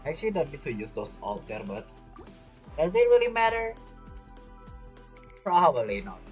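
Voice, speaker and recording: A man's voice: on the high side, very animated, normal speed. A man giving casual, musing gameplay commentary as a streamer, thinking aloud. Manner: rambling, musing. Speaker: game streamer. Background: faint game music.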